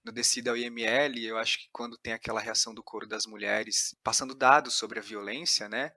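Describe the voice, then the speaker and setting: A man's voice: mid-range voice, steady tone, fairly quick, bright, forceful tone, dry recording, studio quality. A man with a Brazilian accent hosting a conversational interview, reflecting aloud and rephrasing as he goes. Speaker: podcast host, interviewer.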